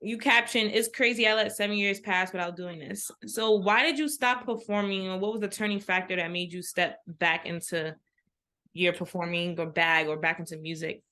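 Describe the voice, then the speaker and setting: Bright-voiced woman interviewer. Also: lowish voice, steady tone, moderate pace. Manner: affirming, frequent short agreements. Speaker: interview host. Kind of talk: interview questions.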